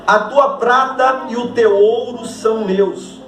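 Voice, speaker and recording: A man's voice: very high voice, steady tone, slow pace, fairly bright tone, a little hiss or hum. A man preaching to his church congregation as pastor, joking and thanking members before reading scripture with emphasis. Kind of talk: sermon. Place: church hall. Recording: echoey church hall from a distance. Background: congregation ambience.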